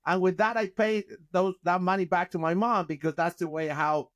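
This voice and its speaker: Man, Chilean accent, middle-aged, slightly high voice, animated storyteller, video call. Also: normal speed. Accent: Chilean Spanish. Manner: animated, gesturing with hands, frequent restarts. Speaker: guest, company founder. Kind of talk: casual interview storytelling. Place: office with bookshelves, indoors.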